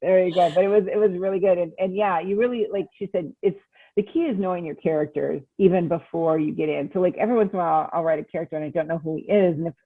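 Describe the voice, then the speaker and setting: A woman's voice: on the low side, steady tone, fast talker, slightly rough, no echo. Woman on a tinny phone-like line. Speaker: novelist guest on a podcast. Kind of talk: casual interview chat.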